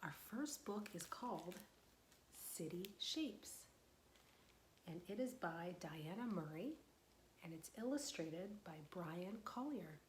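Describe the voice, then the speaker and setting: A young woman librarian leading a storytime for young children, asking questions in a sing-song voice and dropping to soft whispers for suspense. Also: fairly low pitch, lively intonation, slow pace, a little hiss or hum, rough recording.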